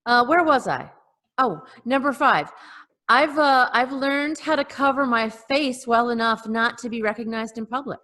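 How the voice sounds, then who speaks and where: A woman co-hosting a podcast over a video call, reading out comic lists and chatting casually with a deadpan joking manner. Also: fairly high pitch, unhurried. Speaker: podcast co-host. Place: remote group video call. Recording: roomy video call with long reverb.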